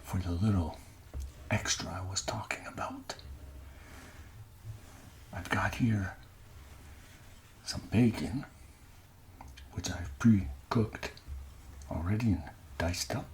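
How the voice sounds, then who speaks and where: Soft whispery canadian guy, deep voice, gently talking thru cooking steps, some background noise. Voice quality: soft, breathy, often dropping to a whisper. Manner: gentle, unhurried, talking through each step. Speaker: amateur ASMR cooking host. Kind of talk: soft-spoken ASMR cooking narration.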